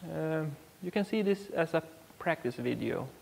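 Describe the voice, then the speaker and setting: A man from Sweden with a Swedish accent, chatting haltingly with many ums and dry jokes while repairing a car part. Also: a little high, animated, creaky, a little mellow, big-room sound, rough recording. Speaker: DIY car repair vlogger. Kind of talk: casual workshop commentary.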